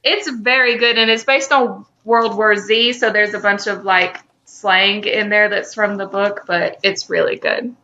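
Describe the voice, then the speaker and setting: A woman's voice: mid-range voice, fairly even intonation, measured pace, hardly any pauses, sharp tone, highs cut off. A woman co-hosting a podcast, speaking informally, whether reading fan fiction aloud or bantering. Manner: informal, teasing, profane. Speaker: podcast co-host.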